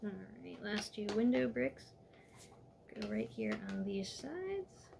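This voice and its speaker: A woman walking viewers step by step through a toy building set in a calm, instructive way, a little hoarse from losing her voice. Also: medium pitch, slow pace, noisy background.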